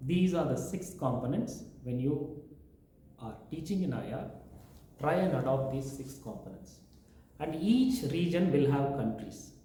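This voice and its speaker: Male professor, lecture-style, mellow tone, very reverberant room. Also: medium pitch, animated, a little slow. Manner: lecturing, listing points one by one. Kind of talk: explaining teaching methods in an interview. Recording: very echoey room.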